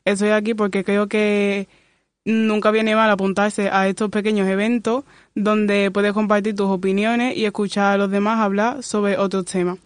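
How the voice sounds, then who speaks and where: A young woman moderating a podcast panel, reading questions in a steady way. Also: mid-range voice, moderate pace, no echo, studio quality. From Spain.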